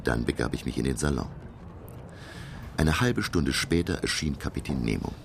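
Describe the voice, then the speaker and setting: An actor playing a professor who recounts his sea adventure in literary, measured radio drama narration. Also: rumbling low, lively intonation, vocal fry, background noise, exaggerated voice. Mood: uneasy, dramatic. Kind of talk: scripted audio drama narration in past tense. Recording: loud music behind the voice.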